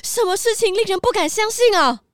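A woman's voice: very high voice, lively intonation, fairly quick, no room sound, clean studio sound. A woman playing an anxious, earnest townsperson in a children's audio drama, exclamatory and pleading.

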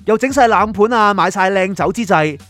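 A man's voice: high-pitched, moderate pace, natural voice. A man with a Hong Kong accent playing an exasperated, henpecked boyfriend, telling his love woes in a colloquial, self-mocking radio drama style. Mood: wry. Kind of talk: dramatised first-person comic storytelling.

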